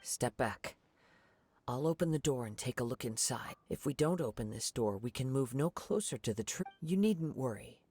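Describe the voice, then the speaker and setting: A man voicing a calm, thoughtful young samurai in a video game, speaking softly in measured, formal phrases. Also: mid-range voice, slightly rough. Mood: calm, reassuring. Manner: measured, contemplative, formal wording. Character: calm, thoughtful young male wandering samurai, polite and observant. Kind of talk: video game character acting.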